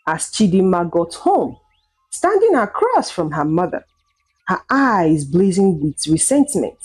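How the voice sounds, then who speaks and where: Expressive, unhurried woman storyteller. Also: lowish voice, slightly rough, soft background music. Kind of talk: dramatic scripted folktale narration.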